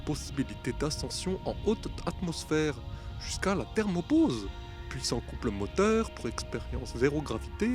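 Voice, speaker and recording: An actor playing a confident, chatty, scatterbrained spaceship pilot in a French sci-fi audio comedy, talking casually and muttering to himself. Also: male voice, a little high, sing-song, moderate pace, over music.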